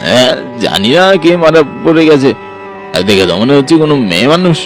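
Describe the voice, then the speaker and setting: A man plays a concerned villager in a horror cartoon. His medium-pitched voice is earnest and a little hesitant. Faint music plays behind him. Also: sing-song, fast. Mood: alarmed.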